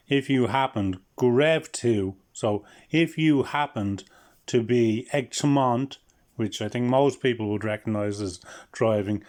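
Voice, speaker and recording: A middle-aged man hosting a humorous language lesson, dry and joking as he teaches. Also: medium pitch. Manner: dry, joking, laughs at his own lines. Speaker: channel host teaching Irish.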